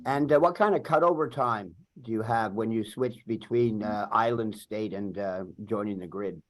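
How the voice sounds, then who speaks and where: A man in the audience asking a speaker polite, hesitant questions, with many pauses and "uh"s. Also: on the low side. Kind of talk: question and answer.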